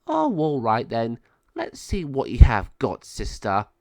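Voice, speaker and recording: A man's voice: mid-range voice, wildly swooping pitch, unhurried, vocal fry, a little mellow, no room sound, clean studio sound, heavily acted. A man voicing a scheming, sneering female sorceress villain in a storybook tale. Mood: gloating. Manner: sneering, gloating.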